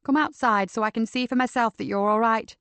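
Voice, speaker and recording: A woman's voice: on the high side, brisk, a bit bright, clean tone, no room sound. A woman voicing a female police inspector, firm but coaxing, in an audiobook. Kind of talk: audiobook character voice.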